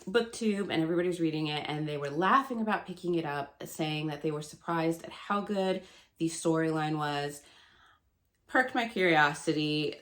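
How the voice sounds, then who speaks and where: A woman in her thirties chatting casually to camera as a book vlogger, her voice still gravelly and nasal from a cold, rambling and laughing often. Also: low-pitched, fairly bright tone. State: hoarse and stuffy from a cold.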